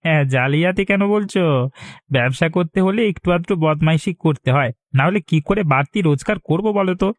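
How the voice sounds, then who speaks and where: A greedy village husband from a cartoon , acted by a man. His male voice sits fairly high and sounds close and dry. He wheedles and schemes with animated delivery. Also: normal speed, no room sound, lightly acted. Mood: sly. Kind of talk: Bengali cartoon dialogue.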